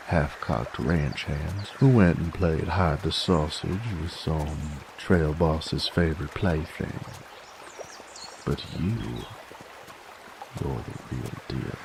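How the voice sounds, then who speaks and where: Gruff Western bounty hunter played by a male actor, rock-bottom gravelly voice, unhurried American frontier drawl, folksy and teasing, for roleplay audio drama. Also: extremely low pitch, noisy background, exaggerated voice. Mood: teasing, admiring. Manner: folksy, drawling, slow and wry.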